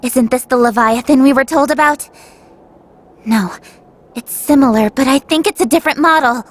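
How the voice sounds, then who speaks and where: An actress playing a composed, principled young heroine in a video game, speaking in a measured, earnest way. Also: female voice, sits high. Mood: wary.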